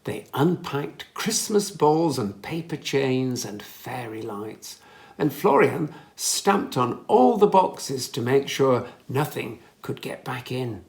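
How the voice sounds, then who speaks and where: Storyteller for young children: unhurried, very animated read-aloud, careful expressive phrasing, a touch of gravel. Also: mid-range voice.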